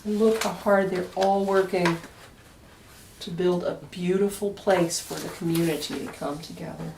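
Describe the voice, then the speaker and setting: A woman reading a children's picture book aloud expressively, with deliberate dramatic pauses and emphasis. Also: on the low side, steady tone, gravelly, darkish tone, slight background noise. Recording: echoey room, distant microphone.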